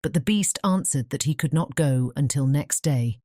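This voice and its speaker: A woman's voice: low-pitched, moderate pace, dark tone, dry recording, clean studio sound. A woman narrating a fairy tale audiobook from a script.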